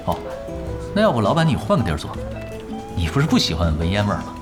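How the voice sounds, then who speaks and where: An unflappable gaming expert in an audio drama, with a low, lively voice. He talks quickly and offhandedly, tossing out orders with nonchalant ease over background music. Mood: casual. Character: laid-back, unflappable male expert gamer, an internet cafe worker. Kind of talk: Chinese audio drama acting.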